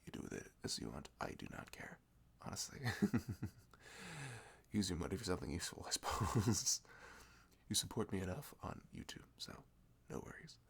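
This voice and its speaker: Young man, deep gravelly voice with lively swings, giggly chatty shopkeeper roleplay. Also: normal speed, pauses often. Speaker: ASMR roleplay creator. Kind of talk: scripted audio roleplay, chatty.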